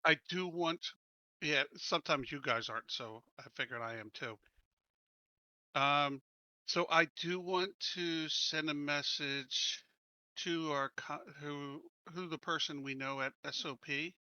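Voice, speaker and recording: A man takes part in a tabletop game, his voice very bright and sharp. He speaks in a brisk, to-the-point way. His audio is muffled, coming through a cell phone hotspot. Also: fairly high pitch, no room sound. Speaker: player. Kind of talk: casual in-character tabletop play.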